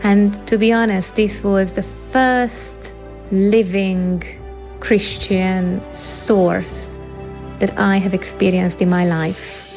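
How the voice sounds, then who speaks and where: A woman from Hungary tells her personal story in an earnest, reflective way. She speaks slowly and unhurriedly. Soft music plays faintly behind her. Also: medium pitch, steady tone. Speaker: listener giving a testimony. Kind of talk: personal testimony.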